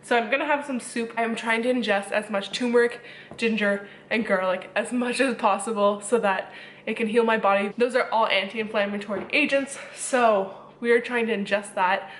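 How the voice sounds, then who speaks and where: A woman's voice: a little high, steady tone, normal speed. Young woman, blocked-up nose, breathless, chatty, faint hiss behind. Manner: chatty and rambling. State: stuffy, congested nose from a cold; short of breath. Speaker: vlogger. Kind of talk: casual vlog talk to camera. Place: home kitchen.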